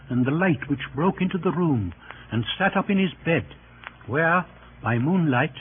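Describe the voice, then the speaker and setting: A man narrating Roman history for an old radio drama in a formal, deliberate manner with clipped phrases. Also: on the high side. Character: sober male chronicler narrating Roman history. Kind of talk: scripted narration for 1930s-40s radio drama. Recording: noisy, narrow-band old radio recording.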